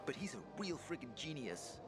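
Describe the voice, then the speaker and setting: An actor playing a nervous young male apprentice inventor who rambles and swings between gloom and excitement, over game music. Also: fairly high pitch, a little fast. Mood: fretful. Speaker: apprentice craftsman. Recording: game soundtrack music behind.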